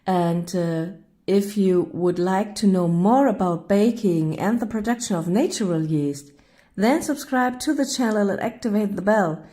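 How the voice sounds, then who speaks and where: A woman from northern Germany with a German accent, narrating her baking video in English, a second language, pausing often to find words. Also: mid-range voice, dark tone. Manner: searching for English words, apologetic, hesitant with many uh fillers. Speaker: cooking vlogger.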